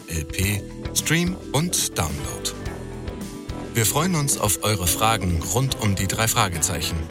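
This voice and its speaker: A man reading scripted programme credits as an announcer. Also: on the low side.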